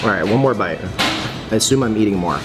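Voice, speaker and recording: A man in his forties vlogging in a bright coffee shop, excitable and gushing, laughing often as he tastes dishes. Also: lowish voice, fast talker, loud music behind the voice. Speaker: food vlogger. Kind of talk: casual food review chat. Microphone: phone camera at arm's length.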